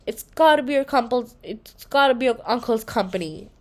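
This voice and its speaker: Woman as worried young kid, storybook hero, earnest, urgent, high voice, very lively pitch. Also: normal speed, natural voice. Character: anxious, earnest young child worried about kidnapped grandma. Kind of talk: children's storybook read-aloud.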